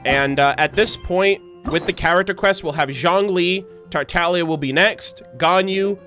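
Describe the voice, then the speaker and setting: A male game streamer with a high, bright voice talks casually over faint music. His commentary is slangy and joking, reacting to whatever is on screen. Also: moderate pace.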